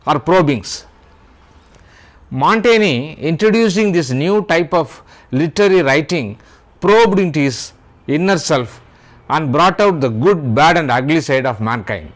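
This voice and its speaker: Casting: older Indian-accented man, unhurried literary talk, spells out words. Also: on the high side. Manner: deliberate, spells out words letter by letter. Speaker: YouTube host, writer.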